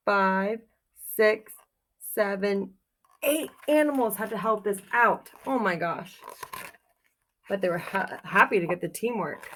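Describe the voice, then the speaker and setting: A woman's voice: mid-range voice, a little hiss or hum. A woman doing a children's read-aloud like a teacher, reading expressively in a sing-song way and putting simple, encouraging questions to her young listeners.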